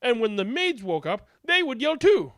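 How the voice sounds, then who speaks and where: A woman's voice: a little high, very animated, normal speed, a bit bright, dry recording, clean studio sound. A woman reading a children's storybook aloud as narrator, with a dramatic, comic, emphatic delivery.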